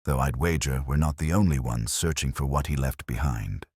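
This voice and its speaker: A man voicing a mild, dry-witted police inspector who speaks in a calm, measured, wry way, recorded close on a studio mic. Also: extremely low pitch, husky, dry recording.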